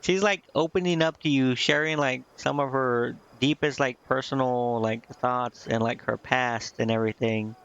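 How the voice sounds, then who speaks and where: A man giving casual, informal let's-play commentary as a game streamer, talking in a halting way. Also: mid-range voice, fairly even intonation, fairly bright tone, clear, resonant tone. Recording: dull lo-fi mic, music behind. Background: game music.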